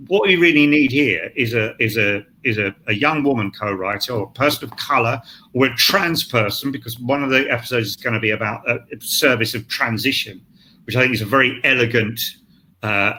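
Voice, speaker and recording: Older man, very sharp bright voice, blunt rambling panel talk, emphatic, slight background noise. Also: medium pitch. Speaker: scriptwriter on a panel. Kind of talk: casual, sweary panel talk. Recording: clear background noise, live online event.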